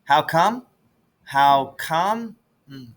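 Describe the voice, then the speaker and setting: A man's voice: fairly high pitch, lively intonation, extremely slow, a bit bright, pitched above the performer's own voice, full character voice. A man voicing a grumbling, teasing country boy in a storybook, with a folksy, exasperated manner. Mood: anxious rehearsing.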